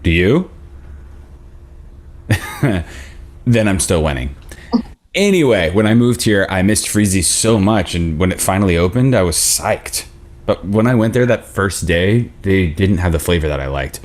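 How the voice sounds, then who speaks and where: A man acts out an amiable, slightly awkward dentist on a date. His voice sits very low, and the delivery is conversational, like a live reading of a screen comedy. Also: animated, unhurried, pauses often. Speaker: actor in a table read.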